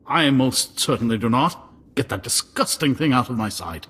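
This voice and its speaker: A man's voice: mid-range voice, animated, moderate pace, a bit bright, slightly rough. An actor playing a snooty, easily outraged male museum curator in a video game, with haughty, formal diction. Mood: haughty disdain.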